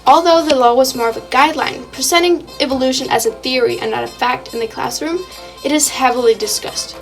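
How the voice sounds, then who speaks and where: A woman's voice: sits high, a little fast, faint music behind, poor audio. A young woman reading a scripted news report in the style of a 1920s radio program.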